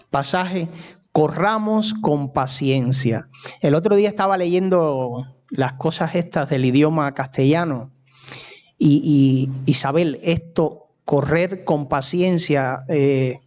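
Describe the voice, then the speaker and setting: A male preacher from Cuba with a Cuban accent, giving a sermon at an easy, slow pace. His voice sits a little high, and he talks in a conversational way, stopping to put questions to his listeners. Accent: Cuban Spanish. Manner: unhurried, conversational preaching with audience asides.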